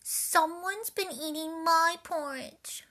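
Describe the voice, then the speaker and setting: Woman doing a high whiny baby bear. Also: slow, full character voice. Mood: upset. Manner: high, loud and drawn out. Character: small whiny young bear cub.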